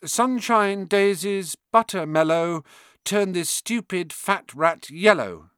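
A man voicing a blunt, chatty eleven-year-old schoolboy with a quick offhand manner. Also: mid-range voice, sing-song, dry recording, clean studio sound, pitched above the performer's own voice, close to an everyday voice.

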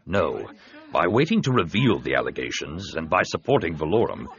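A man voicing a big, scheming alien senator in an audiobook, with measured, persuasive delivery. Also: a bass voice, big pitch swings, a bit bright, lo-fi sound, full character voice. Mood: smug scheming. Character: scheming, soothing, heavyset male alien senator and host.

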